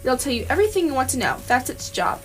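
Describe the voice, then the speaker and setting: A woman playing a calm, practical alien hitchhiker in an amateur radio play. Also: sits high, fast talker. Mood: practical, explaining. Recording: clear background noise.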